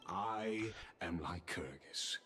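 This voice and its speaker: Game role: ceremonious inhuman council envoy, low voice, deferential and measured, music underneath. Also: male voice, expressive. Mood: formal, solemn. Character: courteous, ceremonious humanoid envoy of an ancient council. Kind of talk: scripted video game dialogue. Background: loud game music.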